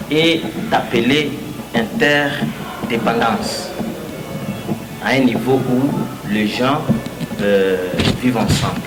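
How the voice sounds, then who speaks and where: A man with a Congolese French accent sets out his view in a debate. He is hesitant and speaks in broken phrases, searching for words. The sound is echoey, with music clearly behind him. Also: medium pitch. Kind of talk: explanatory debate speech.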